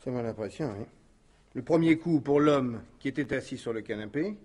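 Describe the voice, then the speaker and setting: A man's voice: medium pitch, moderate pace, a little mellow, lightly acted. A man playing a deferential police subordinate who reports factually in a radio crime drama. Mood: businesslike. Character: dutiful, matter-of-fact male police subordinate reporting. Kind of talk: 1950s-style French radio crime drama acting.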